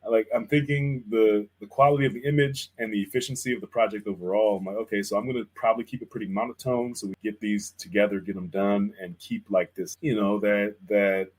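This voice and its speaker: A man's voice: lowish voice, low-quality recording. A man in casual conversation as a guest artist, telling stories in slangy language and acting out bits of remembered conversations. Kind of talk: casual chat, storytelling about creative work.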